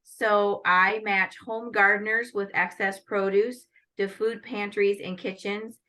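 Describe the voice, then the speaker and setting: A woman's voice: mid-range voice, level tone, a little slow, sharp tone. A middle-aged woman from the American Midwest being interviewed, answering in a poised, articulate way and listing her work with enthusiasm. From Northwest Iowa, USA. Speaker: guest, lawyer and pageant titleholder.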